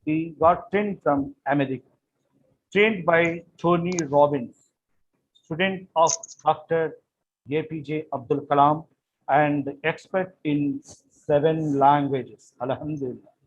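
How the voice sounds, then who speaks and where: A man's voice: fairly high pitch, steady tone, takes it slowly. A man hosting an event, reading out a formal, list-like introduction of the guest speaker and politely handing over to him. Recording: dull recording with faint background noise.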